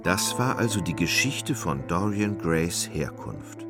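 A man's voice: low voice, animated, slow pace. A man narrating a literary radio play from a script. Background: music.

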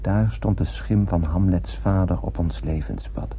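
An actor playing an eager, awestruck young sailor on a spaceship in a radio play, speaking earnestly and poetically. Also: male voice, deep voice, measured pace. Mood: awed, brooding. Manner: earnest, poetic, literary delivery. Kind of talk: radio drama acting. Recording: muffled radio play, clear background hum.

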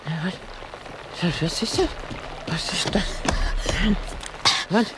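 An actress playing an inquisitive, fretful elderly wife in a radio drama, halting and repeating herself with exclamations. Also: female voice, fairly low pitch, heavy background noise. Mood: curious, flustered.

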